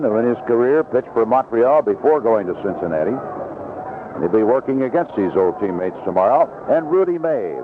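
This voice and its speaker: A man calling live baseball play-by-play on the radio in clipped, descriptive phrases. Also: medium pitch. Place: outdoor ballpark broadcast booth. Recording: noisy lo-fi 1970s AM radio broadcast.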